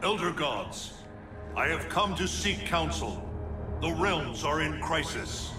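A man's voice: on the high side, sing-song, slow pace. An actor playing an earnest, troubled male thunder god seeking counsel. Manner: earnest, pleading. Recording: distant roomy game cutscene, music.

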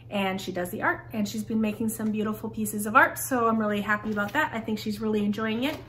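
A woman's voice: medium pitch, fairly bright tone. A woman in her thirties casually reviewing her daughter's homeschool curriculum to camera, chatty and friendly, speaking from a home schoolroom. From Taiwan. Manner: chatty, friendly, frequent "like" fillers. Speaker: homeschool vlogger. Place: home schoolroom with bookshelves, indoors.

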